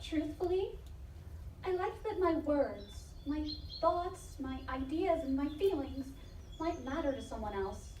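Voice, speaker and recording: A woman's voice: high voice, lively intonation, measured pace, a little mellow. A woman playing an earnest, thoughtful, vulnerable character in a live audio drama. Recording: echoey live stage, distant, noisy.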